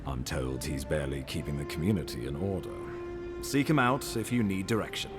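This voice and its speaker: An actor playing a beleaguered imperial ambassador in a video game, formal, theatrical and exasperated. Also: male voice, rumbling low, expressive, brisk, music in the background. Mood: resigned, brisk. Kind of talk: video game character acting.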